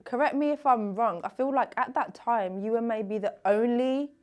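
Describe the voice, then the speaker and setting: A woman's voice: fairly high pitch, moderate pace, soft background music. Encouraging female interviewer. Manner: encouraging, brief agreeing interjections. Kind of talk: casual interview chat.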